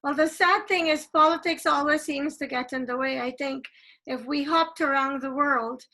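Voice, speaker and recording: Woman from Guyana, high voice, bright tone, chatty eager host, video-call interview. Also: normal speed. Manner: chatty, eager, rising questioning tone.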